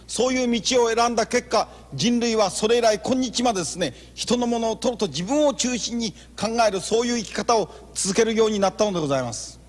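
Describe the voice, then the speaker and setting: A man's voice: extremely high pitch, long reverb tail. A man interpreting consecutively in polite, honorific phrasing.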